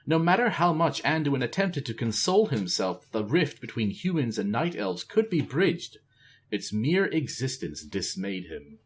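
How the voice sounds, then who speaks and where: A man reading a novel aloud in a casual, expressive way. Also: mid-range voice. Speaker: storyteller.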